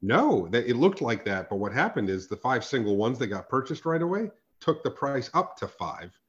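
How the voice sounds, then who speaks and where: A man with a raspy, hoarse voice, co-hosting a casual podcast chat, emphatic and quick to laugh. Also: mid-range voice, highs cut off. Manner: animated, emphatic, swears and laughs often. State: hoarse from a sore throat. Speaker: podcast co-host.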